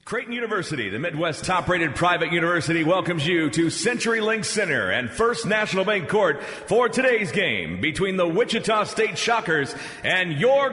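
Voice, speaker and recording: A man announces arena lineups in a high, swooping voice soaked in long reverb. He booms and stretches out each name, delivering his lines with mock seriousness over loud music. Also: a little hiss or hum. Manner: booming, drawn-out names, mock-serious delivery of jokes. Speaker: public address announcer. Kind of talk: hyped arena pregame introductions.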